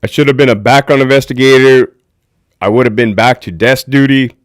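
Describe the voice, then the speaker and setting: A former prison officer with a deep voice hosting a casual livestream, talking candidly and profanely to his viewers. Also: fairly quick, no room sound, clean studio sound. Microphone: desk mic.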